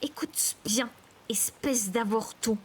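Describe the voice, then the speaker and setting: A woman's voice: mid-range voice, big pitch swings, normal speed, fairly bright tone. A woman doing an exasperated, hot-tempered boy. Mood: angry bluster. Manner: indignant, blustering, detaching syllables when angry.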